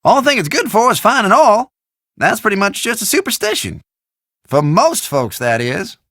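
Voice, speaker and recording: Game role: rambling country storekeeper, Southern drawl, bright and creaky, pitch swinging wildly. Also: male voice, sing-song, normal speed, no room sound, clean studio sound, heavily acted. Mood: folksy. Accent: American Southern or South Central country. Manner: folksy, rambling, theatrical drawl. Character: folksy, chatty, forgetful small-town male shopkeeper. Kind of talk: video game voice acting.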